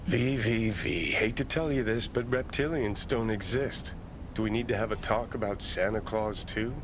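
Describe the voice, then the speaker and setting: Man playing a low-voiced sardonic cynic. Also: steady tone, normal speed, noisy background, natural voice. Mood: teasing. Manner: sardonic, dry, theatrical. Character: cynical, mocking male sidekick with world-weary wit. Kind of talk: video game voice acting.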